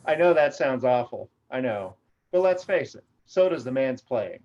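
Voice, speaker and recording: A man's voice: medium pitch, very animated, moderate pace, darkish tone, lightly acted. A man playing a genteel, gossipy reverend on a symphony board, effusive and confiding, in a table read over a video call. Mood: confiding, mischievous. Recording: dull, lo-fi video call audio.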